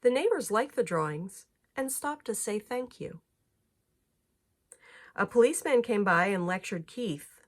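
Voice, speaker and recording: A woman reading a children's picture book aloud in an expressive storytelling manner, phrasing clearly for young listeners. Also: mid-range voice, a little slow, long pauses.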